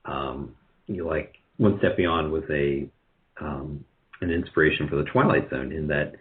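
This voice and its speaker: A man giving casual interview answers in a halting, self-deprecating way over a phone-like connection. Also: a bass voice. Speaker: guest, radio drama producer. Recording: tinny phone-like line.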